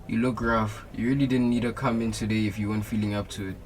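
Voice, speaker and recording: A young South African man playing a steady, supportive police detective in a radio drama. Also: fairly low pitch, quick pace, slight background noise, lightly acted. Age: young adult.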